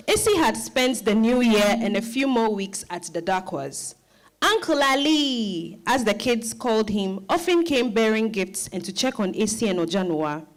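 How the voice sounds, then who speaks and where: A woman narrates a dramatized story from a script. Her mid-range voice moves expressively, with wide swings in pitch.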